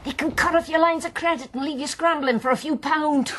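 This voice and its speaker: A woman's voice: high voice, brisk, a bit bright. An actress playing an elderly Irish mother, suspicious, scolding and fiercely protective, in a period radio drama. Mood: urgent warning.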